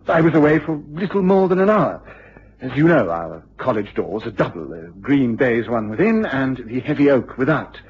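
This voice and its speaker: An excitable English don in a vintage radio drama. His voice is very rough and husky, his delivery formal, exact and agitated. The old recording is muffled and lo-fi. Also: mid-range voice. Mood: agitated, explaining. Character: agitated, excitable male university lecturer, gaunt and anxious. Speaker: actor in a radio play. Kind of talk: period BBC radio drama acting.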